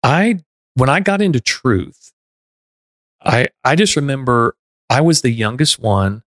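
A man's voice: medium pitch, lively intonation, measured pace, a bit bright, dry recording. Man from Texas, middle-aged podcast host, earnest and reflective, dry close sound. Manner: earnest, self-reflective, frequent restarts. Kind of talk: casual conversation.

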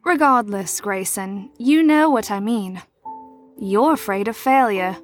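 A woman playing a shrewd, teasing adult mentor to troubled teens in an audio drama, with a measured, knowing, probing delivery. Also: mid-range voice, faint music behind, natural voice.

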